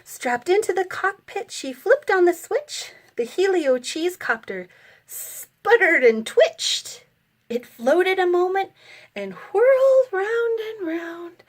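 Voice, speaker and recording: A woman reading a rhyming picture book aloud to children in a dramatic, deliberate storytelling manner. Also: very high-pitched, big pitch swings, a bit bright.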